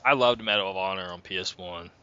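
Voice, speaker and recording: Bright-voiced twenty-something man, lo-fi. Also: deep voice, quick pace, a little hiss or hum. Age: 20s. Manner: loose, rambling, says "like" a lot. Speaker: podcast host. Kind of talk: casual chat. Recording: dull lo-fi podcast mic.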